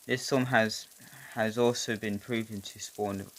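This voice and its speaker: A man's voice: on the low side, fairly even intonation, unhurried, natural voice. A man voicing an emergency weather announcer, urgent and stern, stumbling and restarting as he reads out a warning over rain noise.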